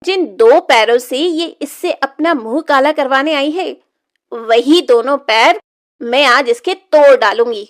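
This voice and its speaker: A middle-aged village stepmother played by a woman from a moral-story cartoon. Her voice sits high, and she scolds harshly, taunting and threatening as a cruel tyrant of the house. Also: measured pace.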